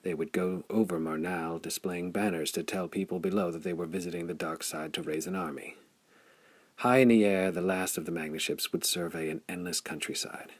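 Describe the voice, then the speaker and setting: A man's voice: low voice, a little fast, breathy edge, no echo. A man reading a pulp adventure story as scripted audiobook narration.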